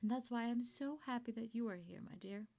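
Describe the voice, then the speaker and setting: A woman playing a kindly, proud older company founder in an ASMR roleplay, chatty and doting, with a creaky voice. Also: fairly high pitch, a little fast. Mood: earnest, frustrated. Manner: gentle, chatty, doting, with throat-clearing and rambling asides. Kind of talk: ASMR roleplay monologue to the listener.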